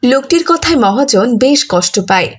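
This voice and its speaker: A woman's voice: sits high, expressive, fairly quick. A woman narrating a cartoon story from a script, as a storyteller.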